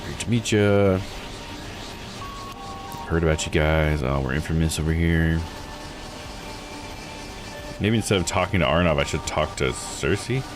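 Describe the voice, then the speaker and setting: A man's voice: extremely low pitch, measured pace, long pauses, over music. A man live-streaming a visual novel, reading text aloud and musing casually as he plays. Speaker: game streamer.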